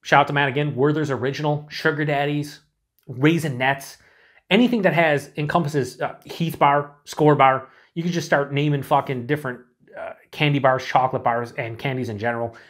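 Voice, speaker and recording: A middle-aged man reviewing a beer alone to camera in a small room. He talks in a chatty, excited way, swears freely and sometimes drops to breathy whispers. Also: a little high, normal speed. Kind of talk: casual solo review to camera.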